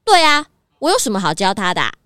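A woman's voice: mid-range voice, sing-song, fast talker, a bit bright, smooth, no room sound, clean studio sound. A woman with a Taiwanese Mandarin accent playing a sly, righteous female fox thief in a children's radio drama, taunting and haughty. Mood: coy.

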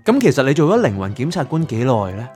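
A man's voice: medium pitch, very animated, fast, dark tone, soft background music, natural voice. A man with a Hong Kong Cantonese accent playing a curious, chatty young man in an audio drama. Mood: curious, probing. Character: curious, chatty young man probing a soul prosecutor's past.

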